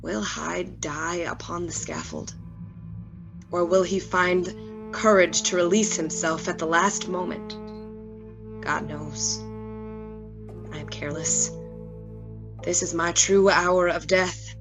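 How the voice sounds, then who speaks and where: A despairing scientist at the end of life, confessing in a gothic radio monologue. The delivery is low for a woman, very slow and solemn, with prominent music underneath. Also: fairly even intonation, gravelly. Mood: despairing, resigned. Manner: solemn, measured, theatrical. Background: loud music.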